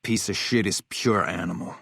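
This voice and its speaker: An actor playing a hard-bitten, vengeful military officer in a video game, blunt, profane and matter-of-fact. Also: male voice, low-pitched, fairly even intonation, normal speed, vocal fry, a bit bright, slightly rough, no room sound, clean studio sound, heavily acted. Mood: grim, warning. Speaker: game character, army officer.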